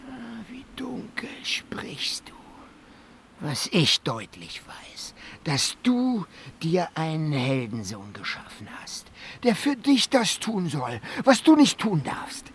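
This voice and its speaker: A scheming dwarf villain in a fantasy audio drama. He gloats slowly and theatrically, his pitch leaping and falling into hissed whispers. Faint ambient noise sits behind him. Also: huge pitch swings, heavily acted. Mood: bitter, threatening. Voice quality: breathy, hissing, dropping into whispers. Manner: gloating, theatrical, drawn-out menace. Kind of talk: fantasy radio play acting.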